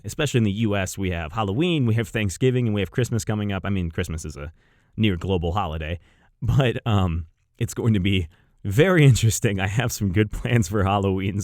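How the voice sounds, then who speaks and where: A man's voice: lowish voice, moderate pace, a little mellow, dry recording, clean studio sound. An American man hosting a game review channel, chatting casually and unscripted to viewers with gushing enthusiasm.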